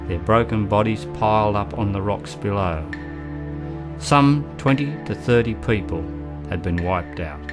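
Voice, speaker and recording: A man narrating a scripted historical radio drama in a grave, measured manner. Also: lowish voice, over music.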